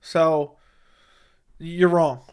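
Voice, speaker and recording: A man's voice: fairly high pitch, fairly even intonation, very slow, darkish tone, smooth. Blunt, opinionated man hosting a livestream. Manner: blunt, opinionated, repeats himself for emphasis. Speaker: MMA commentary livestream host. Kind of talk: casual livestream chat reading viewer questions.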